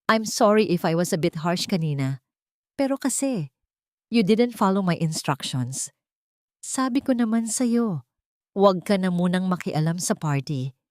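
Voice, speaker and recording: A woman voicing a cruel, manipulative drunk husband in an audio drama, hoarse, with mock tenderness and English mixed in. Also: on the low side, animated, a little slow, long pauses, dry recording, clean studio sound. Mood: condescending blame. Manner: mockingly tender, blaming, code-switching between English and Tagalog. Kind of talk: audio drama character acting.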